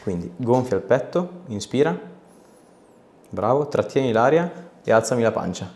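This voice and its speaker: A man's voice: medium pitch, big pitch swings, normal speed, a little mellow, reverberant, a little hiss or hum. A man in his thirties working as a chiropractor, chatting casually and giving short instructions to a patient in a small treatment room. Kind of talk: casual hands-on session talk with short instructions.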